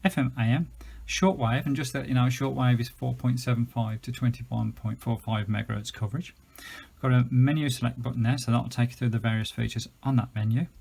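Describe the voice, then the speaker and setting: A man's voice: mid-range voice, gravelly, a little mellow, husky. A British man giving a chatty, enthusiastic, unscripted gadget review, explaining things as he goes. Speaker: product reviewer.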